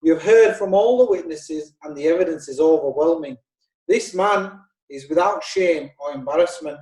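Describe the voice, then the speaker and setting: An actor playing a prosecutor addressing the court formally. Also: male voice, fairly high pitch, normal speed, dark tone, reverberant, distant mic, lightly acted, low-quality recording. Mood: confident. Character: male prosecutor, confident. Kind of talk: amateur audio drama acting.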